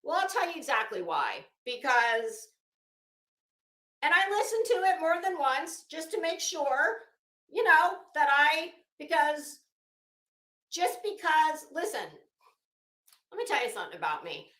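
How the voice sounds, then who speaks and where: High, bright-voiced woman in echoey room. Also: big pitch swings, unhurried, long pauses. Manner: emphatic, blunt, swears freely, repeats words for emphasis. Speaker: online commentary host. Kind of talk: casual opinionated commentary, rambling monologue.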